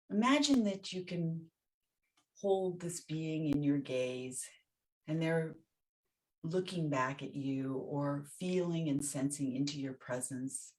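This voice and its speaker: Female meditation teacher, low register, very unhurried. Gentle, soothing guidance in short, spaced phrases. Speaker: meditation teacher leading a guided session. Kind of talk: guided meditation.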